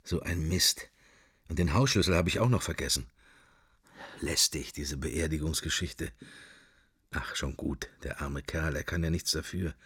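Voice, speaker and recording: A man's voice: a bass voice, unhurried, long pauses, a bit bright, husky, no echo, clean studio sound, exaggerated voice. An actor playing a middle-aged man musing wryly to himself in clipped, wandering thoughts in a literary radio play. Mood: irritated, distracted.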